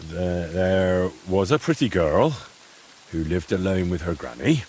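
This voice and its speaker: An actor playing a kindly, patient young man in a medieval video game, gentle and slightly hesitant as he coaxes. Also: deep voice, expressive, unhurried, slight background noise. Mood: tender, reciting. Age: young adult. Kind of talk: dramatic video game dialogue.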